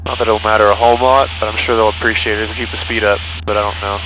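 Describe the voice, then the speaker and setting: A man's voice: fairly low pitch, little pitch movement, very fast. A man who is a pilot, thinking aloud in casual, technical cockpit chat over a headset. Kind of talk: casual cockpit chat, working through the approach. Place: small aircraft cockpit in flight. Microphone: aviation headset. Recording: noisy small-plane cockpit intercom.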